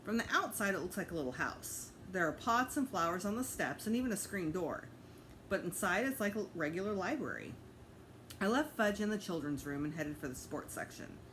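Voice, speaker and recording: A woman's voice: on the low side, expressive, brisk, a bit bright, slight background noise, poor audio. A woman narrating a children's book aloud as a teacher reading to students.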